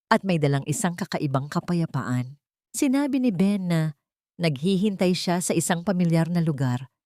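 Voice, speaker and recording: Low-voiced woman narrating a romance story. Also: a little mellow, dry recording, studio quality.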